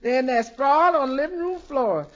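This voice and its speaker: A folksy, sassy housekeeper from a fifties radio sitcom, wry and exasperated. Her high voice moves up and down a lot on a muffled old recording. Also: lively intonation, a little fast. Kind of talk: 1950s radio situation comedy acting.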